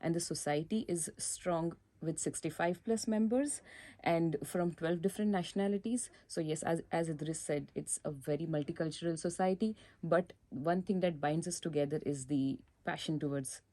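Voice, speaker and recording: A woman's voice: sits low, normal speed, dark tone, dry recording. A woman from Mumbai, explaining things earnestly with some fillers as society president on a campus radio chat show. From India, Mumbai.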